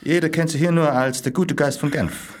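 An actor playing a British secret agent in a radio play, courteous, hesitant and deferential, dropping in English phrases. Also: male voice, fairly high pitch, fairly even intonation, moderate pace, close to an everyday voice. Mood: flattering.

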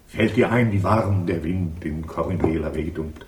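An actor playing a theatrical, pompous and evasive lodging-house caretaker in a 1960s German radio crime drama. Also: male voice, low-pitched, moderate pace, noisy background, lightly acted. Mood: suspicious, officious. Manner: florid, grandiose, evasive. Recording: 1960s studio radio play.

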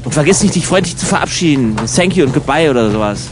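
A man's voice: a little high, animated, quick pace, natural voice. Dry know-it-all young man played by an actor, music behind. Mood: matter-of-fact, insistent. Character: young German emigrant, matter-of-fact, know-it-all travel companion and narrator. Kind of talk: hobby radio play dialogue and narration.

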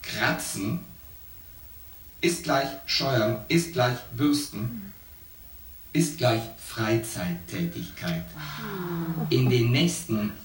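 A man's voice: mid-range voice, sing-song, extremely slow, long pauses. A man playing a pedantic artificial intelligence co-pilot in a science fiction radio play, with stilted, formulaic, literal phrasing. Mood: flat, pedantic. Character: pedantic shipboard computer, male-named artificial intelligence co-pilot. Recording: echoey, distant, music behind.

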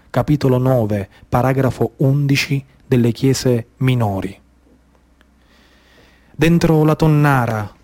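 A man's voice: mid-range voice, a little mellow. A man with a Sicilian accent from the Trapani area delivers a theatrical storytelling monologue in an incantatory, rhythmically repetitive way. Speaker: actor and storyteller. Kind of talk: theatrical monologue storytelling, poetic narration mixed with dialect.

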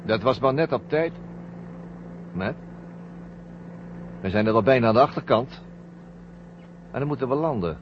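An actor playing a blunt, suspicious, sardonic astronaut crewmate in a 1970 radio drama. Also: male voice, medium pitch, expressive, normal speed, pauses often, close to an everyday voice. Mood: tense, watchful. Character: Brad, blunt, suspicious astronaut crewmate confronting his commander. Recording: dull, noisy 1970 radio drama recording.

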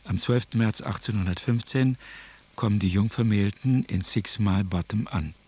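A man giving factual, measured scripted historical narration as the narrator of a radio drama. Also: on the low side, dark tone, breathy edge.

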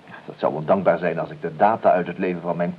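An actor playing a nervous, over-polite and evasive nephew in a Dutch radio play, hesitant, fussy and deferential, given to exclaiming. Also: male voice, low-pitched, fairly even intonation, fast, slightly rough. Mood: guarded. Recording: dull, noisy old radio recording.

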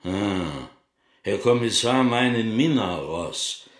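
Wary Yiddish-accented shopkeeper, swooping intonation. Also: male voice, on the low side, lively intonation, slow, echoey room. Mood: indignant, dismissive. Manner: terse, inverted syntax, answering questions with questions. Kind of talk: radio drama acting.

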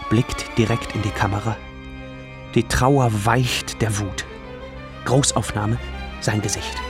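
A man reading screenplay directions in a measured, solemn way in a radio drama. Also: lowish voice. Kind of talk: slow scripted narration.

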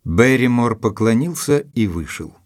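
An actor playing a thoughtful gentleman who narrates his own account in an audio drama, measured, literary and reflective. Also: medium pitch, lively intonation, dry recording, studio quality, lightly acted. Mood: reflective narration.

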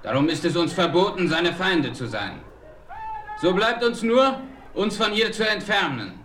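A formal, dignified chief. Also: male voice, high-pitched, animated, a little slow, a bit bright, echoey room, a little hiss or hum. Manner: formal, ceremonial speech. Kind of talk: 1970s radio play acting.

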